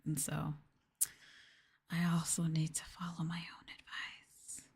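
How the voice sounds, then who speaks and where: A woman hosting an ASMR livestream, chatting casually and gently in a soft, breathy voice that often drops into a whisper, close to the mic. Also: sits low, completely flat delivery, slow pace. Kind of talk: soft-spoken ASMR casual chat with viewers. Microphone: close ASMR microphone.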